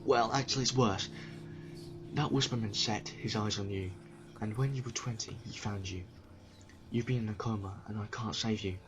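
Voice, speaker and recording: A man voicing a compassionate, sorrowful time traveller in an audio drama, explaining gently and apologetically. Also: fairly low pitch, normal speed, background noise, lightly acted. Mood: sorrowful, gentle. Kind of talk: fan audio drama reading.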